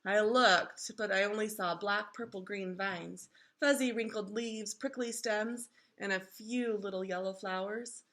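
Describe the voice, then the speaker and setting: A woman reading a children's storybook aloud in an expressive, instructive way, like a teacher engaging young listeners. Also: mid-range voice, measured pace, few pauses.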